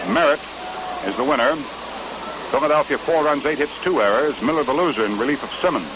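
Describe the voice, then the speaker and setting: A man's voice: medium pitch, fairly quick, highs cut off. Noisy vintage radio baseball announcer, American man. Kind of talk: 1950s radio sports commentary. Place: ballpark broadcast booth.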